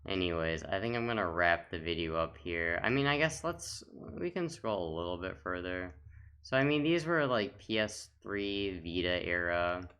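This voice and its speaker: A man's voice: low-pitched, expressive, moderate pace, a bit bright, smooth. A man giving casual, off-the-cuff commentary as a gaming video host, talking in a rambling, reminiscing way.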